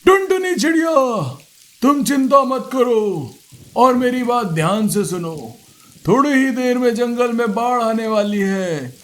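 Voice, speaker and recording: A man's voice: extremely high pitch, lively intonation, unhurried, slight background noise. A man voicing a kindly magical talking tree in a children's cartoon, speaking in an earnest, declamatory way. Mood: urgent, protective. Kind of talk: Hindi children's cartoon dubbing.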